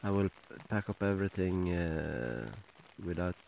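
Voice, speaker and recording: A middle-aged man with a Norwegian accent, talking to a handheld camera in a casual camping vlog, halting with many fillers. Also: low-pitched, expressive, creaky, darkish tone, slight background noise, rough recording. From Norway. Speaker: outdoor vlogger. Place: inside a small tent, then outdoors by a lake. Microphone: handheld camera at close range.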